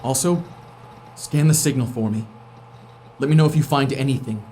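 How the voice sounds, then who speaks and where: An irritable male astronaut, played by a man in a naturalistic sci-fi audio drama. He has a medium-pitched voice and talks curtly, defensive and sarcastic, often dropping into a mutter. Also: moderate pace, mellow, a little hiss or hum, natural voice. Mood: puzzled, urgent.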